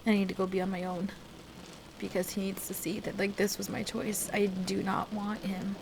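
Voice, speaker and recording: A distressed, defensive woman played by an actress in an intimate audio roleplay. Her voice is creaky and halting, full of emotion, at a middling pitch. Clear background noise like rain runs behind her. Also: flat delivery, lightly acted. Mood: bitter, resolute. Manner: halting, emotional, pleading conversational delivery. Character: distressed, soaked woman wanting to leave her partner, defensive. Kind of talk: intimate ASMR roleplay audio drama, one side of a conversation.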